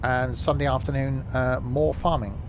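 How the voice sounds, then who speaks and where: A man's voice: mid-range voice, steady tone, moderate pace, background noise. A man, chatting casually and rambling with fillers while live-streaming a game.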